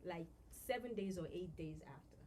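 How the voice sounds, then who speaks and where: A middle-aged woman from Nigeria speaks in a slightly low voice. She tells stories in a relaxed, chatty way, full of fillers. Faint background noise runs under the recording. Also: normal speed. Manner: warm storytelling with many fillers. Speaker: guest. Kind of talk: casual podcast conversation.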